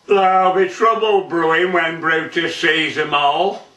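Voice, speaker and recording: A man's voice: fairly high pitch, fairly even intonation, measured pace, bright, forceful tone, voice pushed up. A man voicing a blunt, grumbling tenant farmer in a comic dialect verse monologue. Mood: ominous. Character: grumbling, protective Yorkshire male tenant farmer. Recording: roomy, distant microphone.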